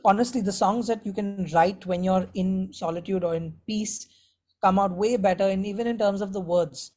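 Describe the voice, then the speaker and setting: A man's voice: high-pitched, fairly even intonation, moderate pace, a little mellow. A man giving conversational answers as a musician being interviewed. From India.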